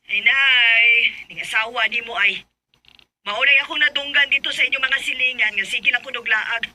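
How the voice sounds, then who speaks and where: Sharp, nagging mother-in-law. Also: a little high, moderate pace. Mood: complaining. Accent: Cebuano Filipino. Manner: complaining, insistent. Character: nagging, meddling older mother-in-law stirring up trouble. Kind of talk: Bisaya radio drama acting.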